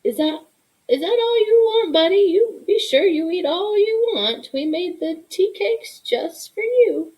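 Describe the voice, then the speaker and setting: A woman voicing a kindly, patient grandmother in a storybook, folksy and gently coaxing. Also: very high voice, animated, measured pace, voice pushed up, poor audio. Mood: doting.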